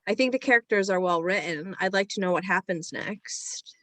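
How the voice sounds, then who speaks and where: A woman's voice: mid-range voice, normal speed, sharp tone, no room sound. A woman on a reading panel, giving casual feedback in informal, hesitant phrasing.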